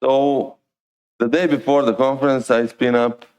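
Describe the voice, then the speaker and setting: A man's voice: medium pitch, little pitch movement, unhurried, darkish tone, smooth, muffled recording. A man with a Croatian accent giving an informal, hesitant talk to a conference. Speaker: conference lightning talk speaker. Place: conference stage, indoors.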